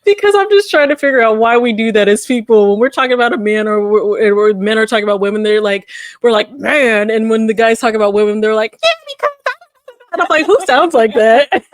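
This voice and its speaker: A woman co-hosting a casual podcast chat, telling stories and acting out quotes in a squeaky high voice. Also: a little fast, no room sound, clean studio sound. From grew up moving between military bases. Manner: animated storyteller, acts out quotes in a high voice. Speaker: podcast co-host.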